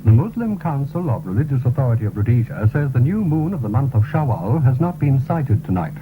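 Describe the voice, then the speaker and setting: A man reading a formal, scripted radio news bulletin in a measured way, on a 1970s broadcast. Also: mid-range voice, big pitch swings, music in the background. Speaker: radio newsreader. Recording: tinny, narrow-band 1970s radio broadcast recording.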